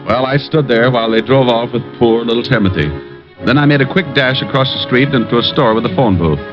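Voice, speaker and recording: An actor playing a wisecracking private detective who also narrates, in an old radio drama with dry, easy delivery. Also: male voice, mid-range voice, fairly quick, natural voice. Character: wisecracking male private eye. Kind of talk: 1940s radio acting. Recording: dull vintage radio recording with music.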